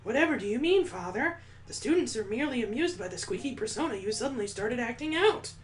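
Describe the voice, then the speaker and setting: A man's voice: very high voice, lively intonation, fast talker, pitched above the performer's own voice. A man voicing a stoic, detached schoolgirl who speaks with formal politeness in a visual novel game, over music. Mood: feigned innocence. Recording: music behind the voice.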